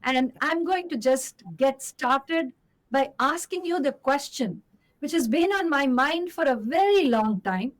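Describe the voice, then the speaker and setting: A woman's voice: high-pitched, animated, a little slow, rough recording. A woman with an Indian English accent hosting a podcast, reading a formal intro and then asking her guest questions in an effusive way. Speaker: podcast host.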